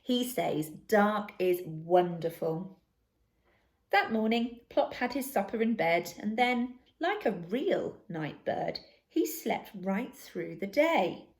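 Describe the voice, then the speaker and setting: A woman reading a children's story aloud as narrator, in an expressive and deliberate manner. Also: on the high side. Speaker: storybook reader.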